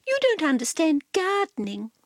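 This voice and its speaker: A woman voicing a haughty, knowing female pet cat from a children's storybook, with a drawn-out, condescending delivery. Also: extremely high pitch, huge pitch swings, no echo, clean studio sound, voice pushed up, full character voice.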